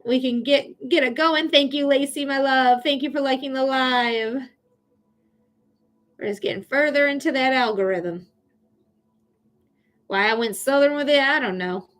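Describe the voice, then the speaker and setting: A woman's voice: sits high, a little slow, pauses often, fairly bright tone. A bubbly, chatty woman with a Michigan accent hosting a live psychic reading stream, laughing often as she talks to viewers. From Michigan, United States. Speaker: psychic reader hosting a livestream. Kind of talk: casual livestream chat.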